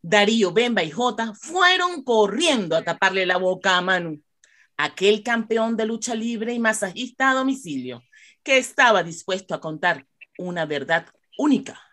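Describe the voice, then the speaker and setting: A woman's voice: medium pitch, expressive, normal speed, sharp tone. A woman with a Venezuelan accent narrating a melodramatic radio soap, telling the story dramatically. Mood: dramatic.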